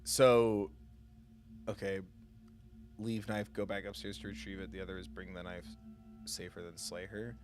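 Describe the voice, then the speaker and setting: A man's voice: lowish voice, level tone, normal speed, pauses often. A man doing live gameplay commentary, thinking aloud playfully and reading text from the game. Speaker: game streamer. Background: game music.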